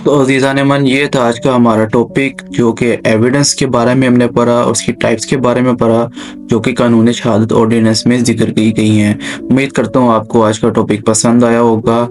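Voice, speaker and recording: A man's voice: medium pitch, level tone, moderate pace, a little mellow. Pakistani man lecturing over background music. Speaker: law lecturer and channel host. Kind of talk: explanatory lecture in simple terms.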